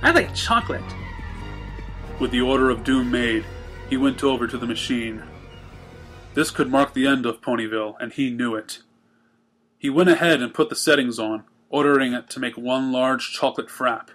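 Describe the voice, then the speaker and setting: A man's voice: mid-range voice, fairly even intonation, normal speed, fairly bright tone, faint music behind. A man reading fan fiction aloud as scripted third-person narration. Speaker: story reader.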